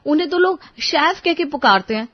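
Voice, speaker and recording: A woman's voice: high-pitched, lively intonation, a little fast, highs cut off, voice pushed up. A woman voicing an earnest, determined young daughter-in-law who reasons politely but firmly in a story. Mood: earnest, defensive.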